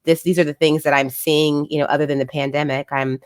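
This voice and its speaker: A woman, a physician assistant and children's author, talking reflectively in a casual interview with many pauses and fillers. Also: low voice, flat delivery, clean tone, no echo, clean studio sound.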